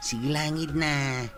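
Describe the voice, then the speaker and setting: An actor plays a pushy, pleading village man with an Ilocano accent. He drags his words and slides widely in pitch, hesitating slyly. There is clear background noise with animal sounds behind him. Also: full character voice. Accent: Filipino, Ilocano. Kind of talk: audio drama acting.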